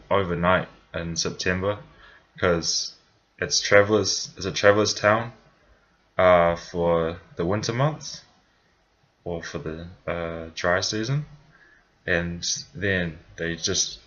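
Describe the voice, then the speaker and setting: Young new zealand man, very low voice, bright edgy tone, rambling casual chat, slightly dull lo-fi sound. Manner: rambling, full of fillers and restarts. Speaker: vlogger. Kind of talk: casual personal story to camera.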